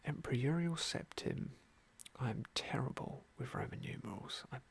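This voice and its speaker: Soft whispery guy doing asmr game playthrough, bit creaky, gentle and musing. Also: medium pitch, normal speed. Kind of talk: whispered ASMR roleplay commentary.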